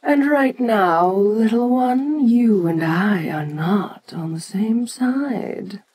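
A woman playing an ancient, imperious kaiju giantess in an audio roleplay, speaking formally and with deliberate, musing condescension. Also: medium pitch, animated, mellow, husky. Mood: suspicious, stern. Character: imperious ancient female kaiju giantess, haughty, curious, domineering. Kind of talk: audio roleplay addressed to the listener.

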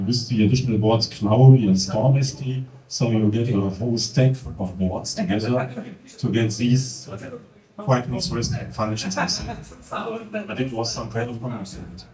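A middle-aged man with a German accent, presenting slides at a conference in halting English with many fillers. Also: medium pitch, animated, mellow. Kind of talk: presenting slides at a tech talk. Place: large conference hall with projector. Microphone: handheld mic. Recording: echoey hall, mic at distance.

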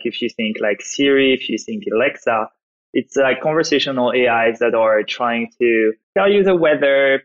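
A man's voice: mid-range voice, muffled recording. A man from France with a French accent, answering questions as a podcast guest in a loose, conversational way with many fillers. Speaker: guest, startup founder. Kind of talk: podcast interview.

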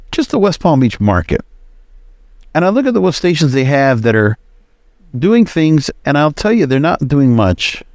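A man's voice: medium pitch, a little mellow, no echo, clean studio sound. A man hosting a solo podcast, giving casual, rambling and opinionated commentary. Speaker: podcast host.

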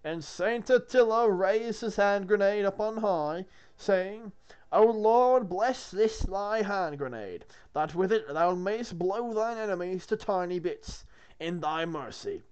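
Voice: mid-range voice, a little mellow, smooth, lightly acted. A reader intoning as a pompous monk. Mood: solemn. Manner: solemn, sing-song, slow and intoning. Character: pompous male monk reading scripture.

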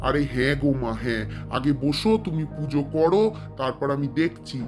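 A man's voice: on the high side, normal speed, music in the background, lightly acted. A man voicing a dutiful young son in a cartoon, breezy, casual and familiar in his talk. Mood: attentive.

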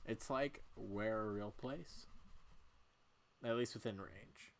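A man voicing a gentle, awkward young friend in a story video game, with a halting, low-key delivery. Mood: awkward, fond. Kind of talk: amateur voiced playthrough of a story game.

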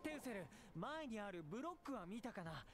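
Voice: medium pitch, sing-song, quick pace, over music, natural voice. An actor voicing a young, protective big brother in a Japanese video game. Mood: playful encouragement.

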